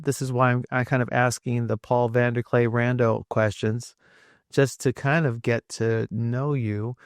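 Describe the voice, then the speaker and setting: Gravelly-voiced male podcast host, chatty. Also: mid-range voice, no echo, studio quality. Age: 60s. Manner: rambling, friendly, many fillers and restarts. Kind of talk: casual conversational interview.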